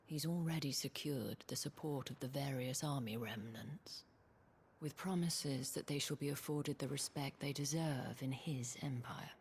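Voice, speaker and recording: An actress playing a worldly, anxious dame in a video game, measured, eloquent and weary, with a breathy, hushed delivery. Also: female voice, extremely low pitch, a little hiss or hum. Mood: bitter, resigned. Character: worldly, anxious dame looking after her wards. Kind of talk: scripted video game drama.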